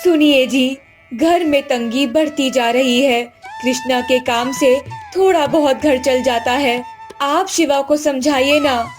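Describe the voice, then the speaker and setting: A woman voicing a caring, anxious mother of grown sons in a cartoon moral story, gently pleading. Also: high-pitched, takes it slowly, music in the background. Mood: worried.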